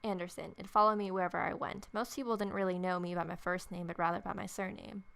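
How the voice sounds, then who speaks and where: Quick-talking young woman reading a game. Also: fairly low pitch, steady tone, gravelly, fairly bright tone. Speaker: game player reading aloud. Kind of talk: read-aloud visual novel narration with casual asides. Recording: music playing behind.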